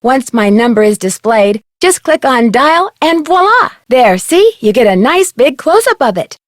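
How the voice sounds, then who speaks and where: A woman's voice: high-pitched, very animated, a little slow, dry recording, studio quality. A woman playing an eager, polite young amateur detective in an adventure game, with a perky, inquisitive, scripted delivery. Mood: cheerful, instructive. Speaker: game protagonist and tutorial guide.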